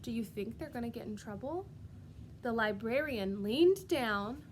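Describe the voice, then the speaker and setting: A woman with a fairly high voice reads a picture book to small children. Her pace is very unhurried and her delivery is expressive and sing-song, as a preschool teacher talks. There is some light noise behind her. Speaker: preschool teacher reading aloud. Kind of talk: animated storybook read-aloud with questions to young children.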